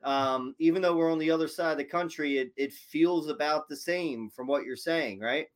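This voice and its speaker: A man's voice: fairly high pitch, moderate pace. Easygoing man hosting a podcast. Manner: relaxed, filler-heavy questioning. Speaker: podcast host. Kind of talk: casual interview chat.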